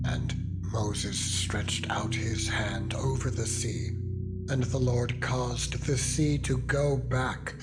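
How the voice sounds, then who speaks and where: A man reads King James scripture as a dramatized narration, in a solemn, measured manner with deliberate pauses. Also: mid-range voice. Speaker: Bible reader, narrator. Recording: loud music under the voice. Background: loud music.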